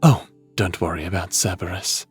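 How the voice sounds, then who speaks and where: An actor playing a calm, affectionate underworld god in an ASMR fantasy roleplay, talking in a measured, gently teasing way to the listener. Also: male voice, sits low, husky, soft background music. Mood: reassuring, fond. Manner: measured, softly teasing, addresses listener as little one.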